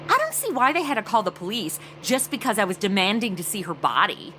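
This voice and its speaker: A woman's voice: medium pitch, big pitch swings, brisk, bright, forceful tone, slight background noise. An actress playing a brash, clueless, celebrity-obsessed young woman, flippant and melodramatic in comedic audio drama banter. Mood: snarky, oblivious.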